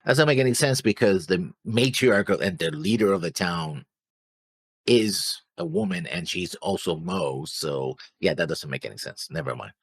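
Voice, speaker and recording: A man with a very deep, husky voice muses through his theories, trailing off as he reconsiders. He sits close to a dry mic. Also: animated, moderate pace, dry recording. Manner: thinks aloud, trails off. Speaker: reaction show co-host. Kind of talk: casual discussion.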